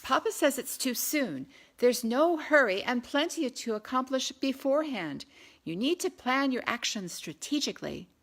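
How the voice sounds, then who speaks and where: A woman's voice: high-pitched, animated, normal speed, voice pushed up. A woman voicing a headstrong, earnest young Englishwoman in an audiobook, insistent and argumentative.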